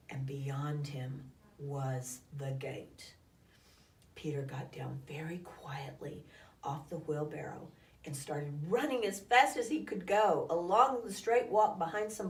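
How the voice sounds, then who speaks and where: A woman with a slightly low voice reads a children's storybook aloud. She tells it expressively and with some drama. She is recorded at a distance from the microphone in an echoey room. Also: lively intonation, unhurried. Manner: dramatic, acts out calls and sound effects.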